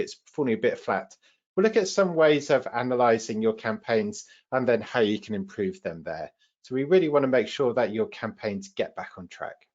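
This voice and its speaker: A man with a British accent hosts a live online training session, explaining things in an informal, practical way as the trainer. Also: medium pitch. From United Kingdom. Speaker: webinar host and trainer. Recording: slightly dull webinar audio.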